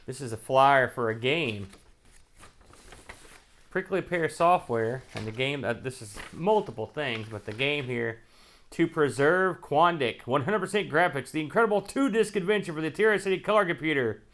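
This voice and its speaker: A man's voice: fairly high pitch, animated, moderate pace, fairly bright tone. An American man hosting a casual unboxing, rambling and thinking aloud as he handles each item. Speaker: host of a retro computing show.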